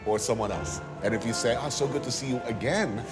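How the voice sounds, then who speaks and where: A man's voice: medium pitch, fast talker, low-quality recording. A man hosting a television show who chats and interviews in a dry, wry way. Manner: dry, wry conversational delivery. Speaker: television host. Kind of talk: casual interview and narration. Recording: music underneath.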